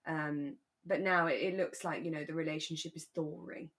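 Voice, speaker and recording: Casting: woman, slightly low voice, relaxed podcast co-host discussing a radio serial. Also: normal speed.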